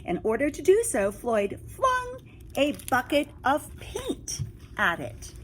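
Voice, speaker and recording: Animated woman reading a storybook aloud. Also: high-pitched, takes it slowly, a little hiss or hum. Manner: expressive, exclaiming, drawing listeners in with questions. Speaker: teacher reading a picture book to children. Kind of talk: read-aloud storytelling with asides and questions to young listeners.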